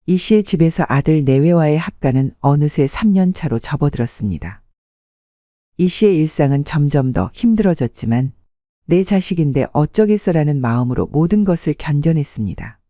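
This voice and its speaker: A man narrating a scripted family story in a measured audiobook delivery. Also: on the high side, animated, warm tone, no echo, lo-fi sound.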